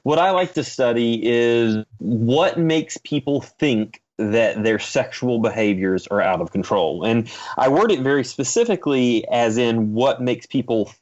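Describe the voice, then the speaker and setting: Male academic guest, mid-pitched, careful explanatory talk, somewhat dull lo-fi recording. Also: lively intonation, a little mellow. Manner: measured, careful qualifying. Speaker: interview guest, psychology professor and researcher. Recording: slightly dull, lo-fi remote audio.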